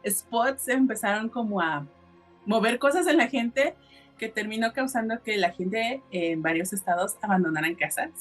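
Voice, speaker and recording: A woman's voice: fairly high pitch, lively intonation, normal speed, soft background music. A woman with a Mexican accent hosting a podcast, telling a story in a casual, colloquial and explanatory way. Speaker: podcast host.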